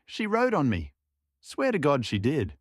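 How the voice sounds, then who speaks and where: A man's voice: a little high, huge pitch swings, a little slow, no echo, clean studio sound, pitched above the performer's own voice, heavily acted. A man voicing a tipsy, stubborn, cheerful Cossack villager in a folk tale, insistent and emphatic. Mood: indignant, excited.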